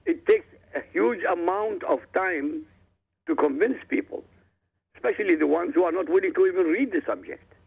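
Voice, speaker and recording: Gravelly, emphatic man on a phone line. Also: sits high, big pitch swings, moderate pace. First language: Arabic. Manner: emphatic, combative, addresses listeners directly. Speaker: guest author. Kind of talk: radio interview, lecturing.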